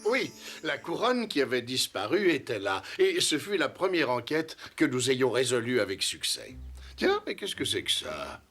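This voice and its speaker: A man voicing an adult elephant king in a cartoon, a genial storytelling father with a measured, good-humoured manner. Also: mid-range voice, huge pitch swings, pushed and bright, soft background music, full character voice. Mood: reminiscing. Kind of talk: French animated series dubbing.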